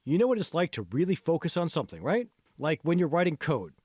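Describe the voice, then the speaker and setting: A man reads the part of a patient, encouraging male coach in an audiobook story. He instructs emphatically, with lively swings in pitch, and the recording is close and dry. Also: quick pace, husky, no echo. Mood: insistent. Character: John Hall, patient, encouraging male fitness mentor.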